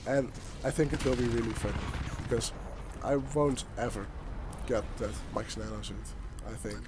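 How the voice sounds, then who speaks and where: A man's voice: mid-range voice, moderate pace. A young Dutch man with a Dutch accent, speaking English as a second language, giving rambling, hesitant commentary over his gameplay footage. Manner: rambling, hesitant, many restarts. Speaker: gaming YouTuber. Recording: game gunfire and noise behind.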